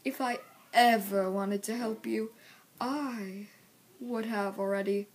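A woman voicing a menacing grown male warrior cat with hushed, breathy threats. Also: mid-range voice, fairly even intonation, slow, slight background noise, close to an everyday voice. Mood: cold menace.

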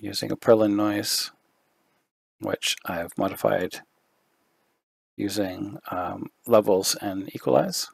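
Low gravelly man, voice going hoarse. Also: slow, pauses often, dry recording. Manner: relaxed, thinking aloud with fillers. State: losing his voice, throat clearing. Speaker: 3D artist giving a tutorial. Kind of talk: screen-recorded software walkthrough.

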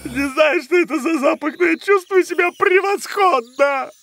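A woman voicing a big, friendly, excitable male cartoon bear in a dubbed children's series, with an exclamatory, enthusiastic manner. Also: extremely high pitch, moderate pace, slight background noise. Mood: elated. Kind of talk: animated children's series dubbing.

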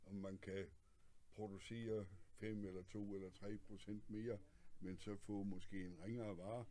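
An older man with a lowish, mellow voice speaks calmly, hesitating with fillers and readily agreeing. He sits in a clothing shop, with faint room noise behind him. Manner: calm, agreeable, many short assents, hesitant fillers. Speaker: candidate and guest. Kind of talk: casual political conversation.

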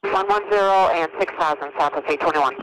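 A woman flying an airliner, giving quick, matter-of-fact readbacks over the radio. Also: fairly low pitch, fairly bright tone. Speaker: airline pilot. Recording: noisy radio transmission.

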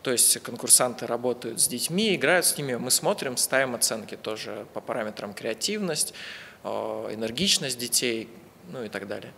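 A man's voice: mid-range voice, lively intonation, normal speed, bright, forceful tone. A man in his twenties, a youth team leader, giving an on-camera interview. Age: 20s. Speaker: camp counselor team leader. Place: indoor exhibition room with drawings. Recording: reverberant room with faint noise.

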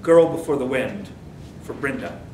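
Male poet at open mic, measured recitation, distant mic, reverberant room. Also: lively intonation, a little mellow. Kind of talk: poetry reading. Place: library meeting room, seated. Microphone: stand mic, far camera. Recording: echoey room, distant mic, faint rumble.

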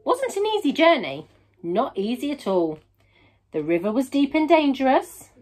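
A woman's voice: high voice, big pitch swings, moderate pace, a little mellow. A woman in her thirties reading a children's picture book aloud expressively, with dramatic emphasis on key words. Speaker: storybook reader.

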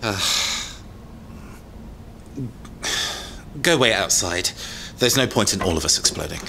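An actor playing a thoughtful, slightly uneasy young tech expert in an audio drama, explaining things in a hesitant, natural way. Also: male voice, low voice, expressive, a little fast, vocal fry, background noise.

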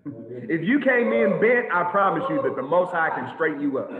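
A man preaching an animated sermon to a congregation over a video call, with lots of repetition and sudden shouts. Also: on the high side, normal speed. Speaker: teacher of a congregation. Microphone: video call webcam. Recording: tinny phone-like echoey audio.

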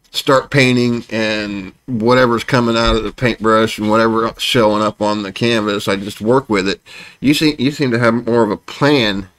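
Lowish-voiced guy in his 40s rambling about art projects, lots of uh and restarts, small home studio. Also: a bit bright. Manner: rambling, with many restarts and fillers, gestures while talking. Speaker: channel host and landscape painter. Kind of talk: casual sit-down channel update.

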